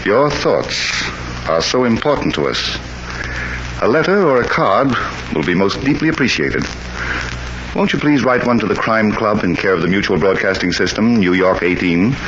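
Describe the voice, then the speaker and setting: Vintage radio host: a man with a lowish, expressive, earnest voice, dull hissy broadcast sound. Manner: earnest, courteous appeal to listeners. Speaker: program host and narrator. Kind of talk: 1940s radio announcing.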